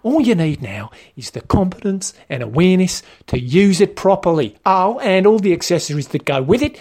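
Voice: mid-range voice, sing-song, moderate pace, a little mellow, pitched above the performer's own voice. A narrator voicing a brash, bossy Australian bloke. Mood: matey, patronising. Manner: blunt, matey, hectoring, slangy. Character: brash, bossy, sweary Aussie mate, an adult man.

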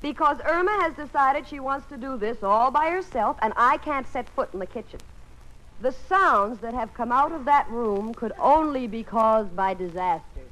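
An actress playing a sensible, wry, patient roommate who also narrates in a 1940s radio sitcom. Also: female voice, on the high side, animated, normal speed, a bit bright. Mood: nervous, exasperated. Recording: faintly noisy old radio recording.

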